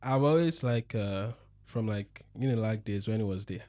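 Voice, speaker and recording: A man's voice: lowish voice, normal speed, mellow, no room sound, studio quality. A man, speaking reflectively with lots of "like" in a casual podcast chat. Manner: reflective, heavy use of like. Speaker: podcast co-host.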